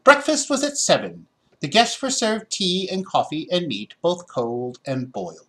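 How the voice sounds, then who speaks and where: A man's voice: high voice, big pitch swings, measured pace, fairly bright tone, pitched above the performer's own voice, exaggerated voice. A man voicing a storybook museum guard who recites stiffly and is easily confused. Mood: reciting.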